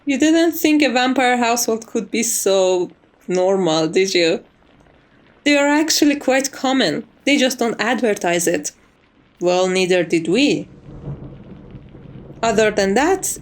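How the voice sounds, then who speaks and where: Vampire's maid, played by a woman for ASMR roleplay: formal, reassuring, gently wry, with a very expressive, fairly high voice. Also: a little slow. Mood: polite, composed. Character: composed, courteous vampire's maid, wryly teasing.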